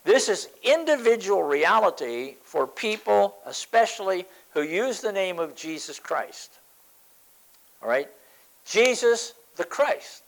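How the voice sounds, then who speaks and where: An older man in his seventies preaches with an emphatic, repeating style. His voice sits a little high and swings widely in pitch as he presses each point home. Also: very animated, slow, creaky, rough recording. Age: 70s. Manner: emphatic, repeats phrases for stress. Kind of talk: sermon.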